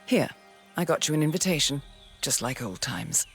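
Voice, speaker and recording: A woman acting a poised, cunning intelligence handler in a video game, speaking in a measured, deliberate and confident way. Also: deep voice, big pitch swings, soft background music. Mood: assured, conspiratorial.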